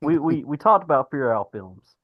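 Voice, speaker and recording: A man hosting a podcast who asks rambling, relaxed questions and laughs along with his guests. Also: fairly high pitch, normal speed, no echo, lo-fi sound. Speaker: podcast host. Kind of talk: casual interview questions.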